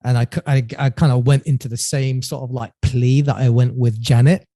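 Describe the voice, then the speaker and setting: A man's voice: medium pitch, fairly even intonation, fairly quick, dark tone, no room sound, studio quality. A man, a podcast guest, tells his story earnestly in a rambling way, with frequent restarts and repeated "right?". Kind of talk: storytelling in an interview.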